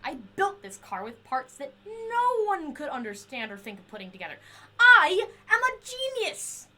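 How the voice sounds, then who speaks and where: A woman's voice: extremely high pitch, wildly swooping pitch, moderate pace, pushed and bright, heavily acted. A woman playing an eccentric, obsessive inventor in an audio drama, proud and volatile, speaking in formal, clinical research notes. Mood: desperate, agitated. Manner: formal clinical diction breaking into pleading outbursts. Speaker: voice actor.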